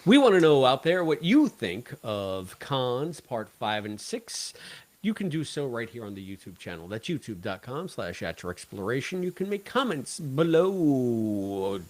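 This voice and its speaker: A man's voice: mid-range voice, very animated, measured pace. A man co-hosting a casual review podcast, talking in a wry, joking way. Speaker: podcast co-host. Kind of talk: casual review chat about an audio drama.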